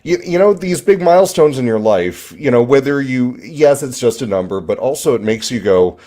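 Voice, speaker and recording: A man's voice: mid-range voice, lively intonation, moderate pace, mellow. A man in his forties in a casual chat, dry and reflective, often restarting his sentences. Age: 40s.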